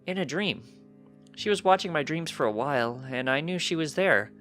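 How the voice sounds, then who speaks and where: Man voicing a high-pitched earnest little colt. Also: lively intonation, normal speed, a bit bright, soft background music. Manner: earnest, childlike. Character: small precocious winged-unicorn colt, cheerful and earnest.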